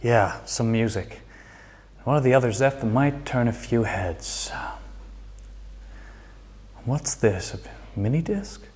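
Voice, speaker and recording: An actor playing an easily exasperated slacker rock musician in a sitcom, with a wry, conversational delivery. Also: male voice, mid-range voice, takes it slowly, pauses often, darkish tone, lightly acted. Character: exasperated sitcom slacker rocker who works in a music store.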